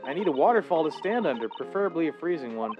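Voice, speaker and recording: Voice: mid-range voice, big pitch swings, fast, lightly acted. A performer voicing a bubbly, blunt teenage girl in training as a spirit medium, in a fan dub of a game. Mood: eager. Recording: slightly dull lo-fi with music.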